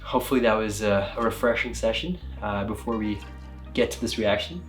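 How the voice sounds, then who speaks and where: A young man, a meditation coach, hosting a reaction video, energetic in the intro and calm when guiding breathing. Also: lowish voice, fairly quick, faint music behind.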